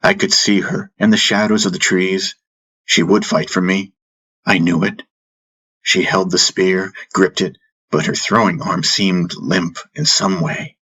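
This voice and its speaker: Deep, forceful male fantasy narrator. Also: fairly even intonation, a little slow, breathy edge, rough recording. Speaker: audiobook narrator. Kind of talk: dramatic first-person fantasy narration. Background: music bed.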